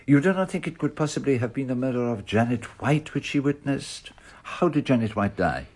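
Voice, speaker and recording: A man's voice: mid-range voice, lively intonation, moderate pace, a little mellow. An actor playing a courteous male detective who questions formally and persistently in a radio mystery drama. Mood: polite, probing. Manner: polite, formal, probing questioner.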